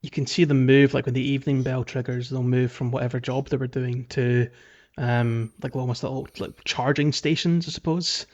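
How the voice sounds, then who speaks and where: A man's voice: mid-range voice, steady tone, normal speed, hardly any pauses, a little mellow, dull audio. A man hosting a podcast, talking through a game in a casual, rambling, conversational way. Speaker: podcast host.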